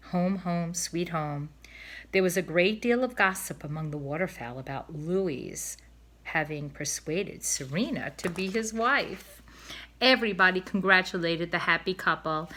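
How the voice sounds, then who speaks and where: A woman narrates a children's book with expressive, deliberate phrasing. Her voice sits a little low, yet it is bright and forceful. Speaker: storybook reader.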